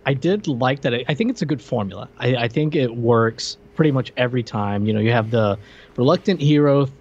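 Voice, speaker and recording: A man's voice: mid-range voice, a little mellow, husky. Stammering man on a dull-sounding mic. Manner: halting, stammers on first words. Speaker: podcast co-host. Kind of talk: casual movie review chat.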